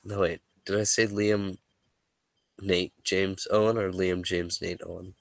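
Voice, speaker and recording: A man with a deep, stuffed-up voice leads a tabletop session as game master. He talks loosely and jokes around, often restarting his sentences. The recording is dry, with no room sound. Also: level tone, a little slow, creaky, dry recording. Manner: loose, joking, with frequent restarts. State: stuffy, sniffly voice. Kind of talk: casual chat and recap narration.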